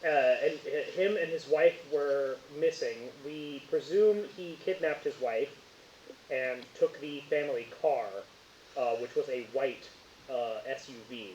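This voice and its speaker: A man playing a helpful, puzzled police officer on a phone call in an improvised tabletop game, in an everyday halting voice. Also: mid-range voice, darkish tone, far from the mic, lightly acted. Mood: grave, informative. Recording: echoey room, muffled, hissy.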